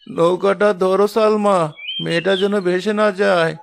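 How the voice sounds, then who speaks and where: A man's voice: high-pitched, steady tone, normal speed, slight background noise. A man voicing a poor, weary, kind old village fisherman in a cartoon fairy tale. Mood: surprised. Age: older. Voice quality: creaky, gravelly.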